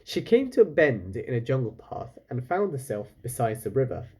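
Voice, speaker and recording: A man's voice: mid-range voice, very animated, normal speed, mellow, husky. A man reading a children's book aloud expressively, stopping now and then for casual, chatty asides to his listeners. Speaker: storyteller, reader.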